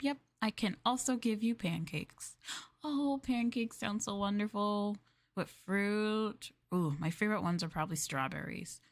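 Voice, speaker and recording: A young woman streamer in a maid roleplay, chatting in a polite, formal way, giggling and dropping into soft asides. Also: lowish voice. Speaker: VTuber streamer.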